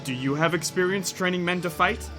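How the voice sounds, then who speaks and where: Man as male rebel, earnest, urgent, declamatory, fairly high pitch, comic audio drama, music underneath. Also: brisk, close to an everyday voice.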